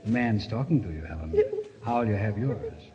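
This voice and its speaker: A man's voice: on the low side, lively intonation, moderate pace, warm tone, breathy edge. A man playing a menacing, falsely soothing husband in an old radio mystery, wheedling and condescending. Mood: sadistic insistence. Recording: dull, reverberant, noisy vintage radio recording.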